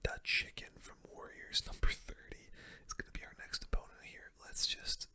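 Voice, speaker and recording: A young man speaks entirely in a breathy whisper, with no full voice behind it. He gives casual, slangy gaming commentary as an ASMR host, taking his time over the play. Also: normal speed, sharp tone.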